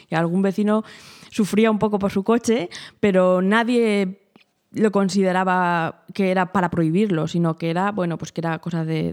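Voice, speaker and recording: A woman from answers interview questions. Her voice is mellow and warm. Her manner is quiet, reserved and thoughtful. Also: on the low side. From Spain. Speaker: guest, journalist.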